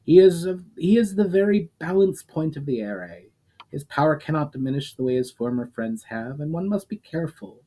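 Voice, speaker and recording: A man's voice: fairly high pitch, expressive, normal speed, dark tone, pitched above the performer's own voice. A man voicing a cold, scornful ancient demon. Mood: derisive. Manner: cold, derisive, lecturing. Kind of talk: storytime reading aloud.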